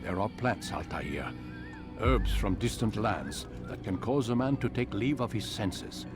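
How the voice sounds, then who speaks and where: Commanding assassin master, low voice. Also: male voice, expressive. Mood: measured, knowing. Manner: measured, authoritative, formal. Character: commanding, calculating male mentor of medieval assassins. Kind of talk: video game drama acting. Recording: loud game music under the voice. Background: video game music.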